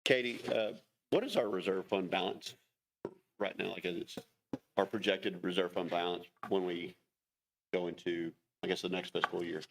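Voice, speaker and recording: A man with a very low voice full of gravel asks questions as a council member. He is polite and slightly hesitant. The meeting recording has clear background noise. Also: steady tone, moderate pace. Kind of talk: informal questioning in a formal meeting. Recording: noisy public meeting recording with clicks.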